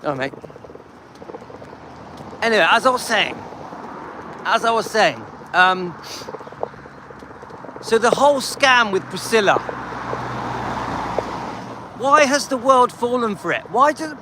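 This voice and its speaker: A man's voice: high-pitched, big pitch swings, slow, pauses often, background noise. An English man live streaming on a dog walk, chatting with viewers and reading out their comments in a rambling, friendly way. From England.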